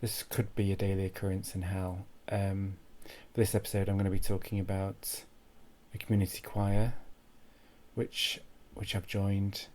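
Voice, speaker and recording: A man with a low, mellow voice tells an awkward comic story as host. He is hesitant and deadpan, circling back over his own phrases with very little rise or fall. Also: normal speed.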